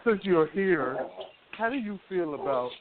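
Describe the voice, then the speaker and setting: Slow-paced man on a very noisy line. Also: high voice, expressive. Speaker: radio show host. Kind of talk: casual talk show chat. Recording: very noisy phone-like line with clicks.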